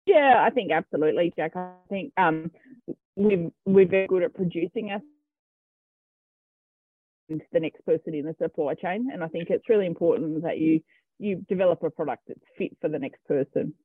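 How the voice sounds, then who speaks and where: A man's voice: fairly high pitch, normal speed, slightly rough, no echo. An Australian man, a cattle farmer, answering interview questions in a hesitant way with many ums and restarts. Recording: tinny phone-line sound, dry.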